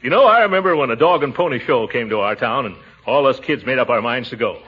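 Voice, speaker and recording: Blustery uncle, wildly swooping voice. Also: male voice, medium pitch, expressive, quick pace, slightly rough. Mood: nostalgic, amused. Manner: theatrical, indignant, hearty chuckling. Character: blustery, pompous, mischievous middle-aged uncle. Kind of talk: 1940s radio comedy acting. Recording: noisy, muffled 1940s radio recording.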